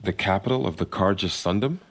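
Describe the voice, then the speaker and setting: An actor playing a grave young warrior guarding a gate, speaking in a solemn, earnest way. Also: male voice, sits low, a little fast. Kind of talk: video game cutscene acting.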